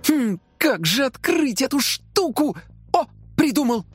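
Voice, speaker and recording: Give me a performer doing a cocky little prankster boy for a children's story, hugely animated, music playing behind. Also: sits high, measured pace, slightly rough, pitched above the performer's own voice, heavily acted. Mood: inventive. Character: bold, mischievous little cartoon boy daredevil. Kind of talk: children's audio storybook character.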